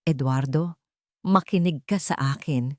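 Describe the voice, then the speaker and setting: Woman narrator playing a manipulative wife in a romantic melodrama, gently poisonous and falsely tender, with a very dry sound. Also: low voice, unhurried, dry recording. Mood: insinuating. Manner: soft, coaxing, insinuating. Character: cunning, manipulative wife feigning tender concern. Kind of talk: dramatic audio story dialogue.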